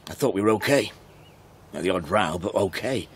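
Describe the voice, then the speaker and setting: A man in a radio drama playing a wounded, halting, self-deprecating husband in his thirties. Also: sits low, expressive, breathy edge, heavily acted. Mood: hurt, bitter. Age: 30s. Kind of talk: naturalistic radio drama acting.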